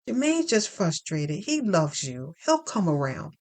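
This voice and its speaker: A woman's voice: fairly low pitch, very animated, a little slow, darkish tone, slight background noise. A woman playing a kindly, encouraging female reverend who speaks soothingly in an audio drama. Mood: gentle, consoling. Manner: soothing, reassuring. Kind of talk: audio fiction acting.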